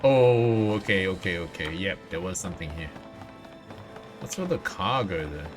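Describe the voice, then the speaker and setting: A man's voice: sits low, lively intonation, normal speed. A man doing casual, playful live video game commentary, talking to himself and his viewers. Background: video game music.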